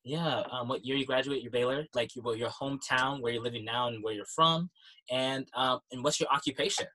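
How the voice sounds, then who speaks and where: Host, man, eager, bright, slightly raspy, filler-heavy delivery. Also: medium pitch, a little fast, low-quality recording. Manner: enthusiastic, many fillers. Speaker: podcast host. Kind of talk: casual interview.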